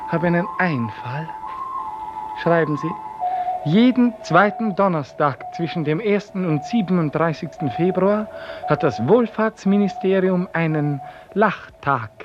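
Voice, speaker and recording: High-pitched, pompous tyrant boss. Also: male voice. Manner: pompous, imperious, theatrical. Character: tyrannical, capricious, self-important male director-general ruler. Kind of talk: 1950s German radio drama acting. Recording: noisy, muffled vintage radio recording.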